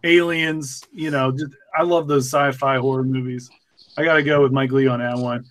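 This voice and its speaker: A man's voice: medium pitch, steady tone, normal speed, fairly bright tone. A man talking casually as a panelist on a podcast. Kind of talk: casual chat.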